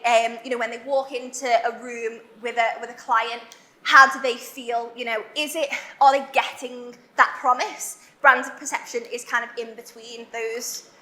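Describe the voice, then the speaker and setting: Young Liverpool woman, high bright voice, chatty conference speaker, echoey hall. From Liverpool, England. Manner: chatty, self-deprecating, fast with many fillers. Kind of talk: conference talk. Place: large warehouse event hall.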